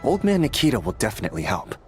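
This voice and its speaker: An earnest, exasperated young master. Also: male voice, medium pitch, wildly swooping pitch, quick pace, darkish tone, soft background music. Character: earnest, anxious young male master, protective. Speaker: game character.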